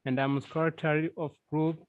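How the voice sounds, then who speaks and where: A young man in his twenties introduces himself slowly and flatly. His voice is on the higher side. The call audio is dry and dull. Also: slight background noise. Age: 20s. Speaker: scout group secretary. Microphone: video call.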